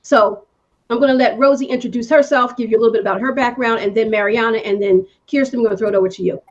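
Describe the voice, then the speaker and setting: A woman hosting a talk show who laughs easily and speaks with religious warmth about love and the Lord. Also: on the high side, fast talker, dull audio. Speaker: show host and company owner. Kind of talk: warm faith-based talk show hosting.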